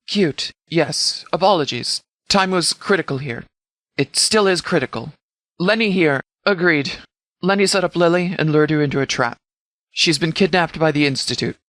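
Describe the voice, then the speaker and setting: Man playing a video game sheriff, stern, commanding, clipped formal speech, slightly high voice, dry sound. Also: a bit bright, no echo, natural voice. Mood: urgent, firm. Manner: clipped, formal, authoritative delivery. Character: commanding male sheriff of a post-apocalyptic settlement, stern and formal.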